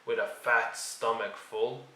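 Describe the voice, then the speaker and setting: A man narrating a children's read-along story in an expressive storytelling style. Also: medium pitch, slow pace, slight background noise. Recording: roomy, distant microphone.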